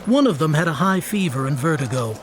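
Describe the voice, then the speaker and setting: A man narrating a radio drama in a measured, literary storytelling manner. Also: fairly high pitch, lively intonation. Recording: noisy ambience under narration.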